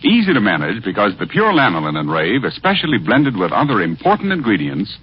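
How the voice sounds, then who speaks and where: An actor voicing a magic mirror that sells a product in an old radio advertisement. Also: male voice, fairly low pitch, huge pitch swings, fairly quick. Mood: selling. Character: smooth-selling magic mirror in shampoo advert. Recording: muffled, faintly noisy old radio recording.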